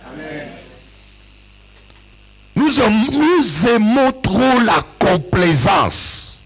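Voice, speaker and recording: A man from Africa preaches to his congregation with a husky, slightly rough voice. His delivery swings widely in pitch, climbing into sing-song peaks and occasional shouts before dropping low again. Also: sing-song, extremely slow, faint music behind, poor audio. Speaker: bishop and pastor. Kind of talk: sermon. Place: church service with an audience.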